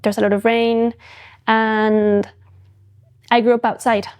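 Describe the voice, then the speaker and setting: A woman's voice: a little high, level tone, takes it slowly, darkish tone, clear, resonant tone. A young woman from Mexico, a photographic artist and podcast guest, talking thoughtfully in a casual interview and often restarting her sentences. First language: Spanish.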